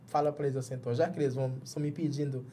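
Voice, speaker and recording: A man's voice: medium pitch, fairly even intonation, rapid-fire, dark tone, slight background noise. A man in his thirties from Jamaica with a Jamaican accent, a journalist guest in a small padded studio, often restarting words as he searches for them in Portuguese. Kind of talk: casual interview talk.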